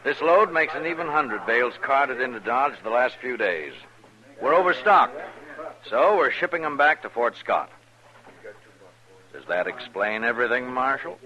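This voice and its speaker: An actor playing a self-assured, dismissive frontier army major, brisk and condescending, in 1950s radio western style. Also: male voice, medium pitch, lively intonation, bright, forceful tone. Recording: muffled old radio recording, background hubbub.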